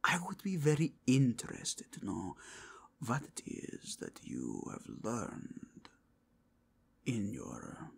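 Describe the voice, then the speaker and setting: A man's voice: medium pitch, huge pitch swings, creaky, breathy edge, full character voice. A man voicing a zealous, courtly werewolf inquisitor in an ASMR roleplay, with a mixed foreign accent touched by Spanish, speaking formally and in a half-whisper. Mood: coaxing. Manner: formal, courteous, deliberate, intense.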